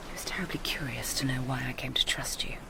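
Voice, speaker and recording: A woman's voice: very deep voice, quick pace, gravelly, whispered, low-quality recording. Earnest, tender young Englishwoman. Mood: intimate, subdued. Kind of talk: naturalistic radio drama acting.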